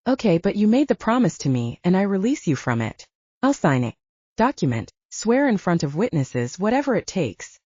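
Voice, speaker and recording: A woman's voice: medium pitch, big pitch swings, moderate pace, mellow, no echo, highs cut off. A woman reading the lines of a nervous, self-mocking young human woman in an audiobook. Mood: anxious.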